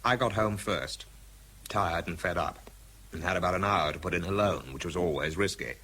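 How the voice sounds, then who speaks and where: A man's voice: deep voice, moderate pace, bright, forceful tone, breathy edge, full character voice. An English actor playing a world-weary, bitter man who struggles with drink, narrating and speaking tersely in a radio drama. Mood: weary, tense. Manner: dry, terse, understated.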